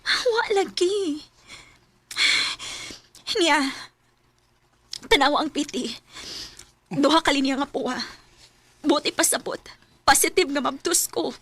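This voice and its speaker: A woman playing a very high-voiced, distraught young girlfriend. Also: slow pace, pauses often, fairly bright tone, no echo. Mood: distressed. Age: young adult. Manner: pleading, tearful, resolute. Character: young pregnant woman, student, hurt girlfriend. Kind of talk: radio drama acting.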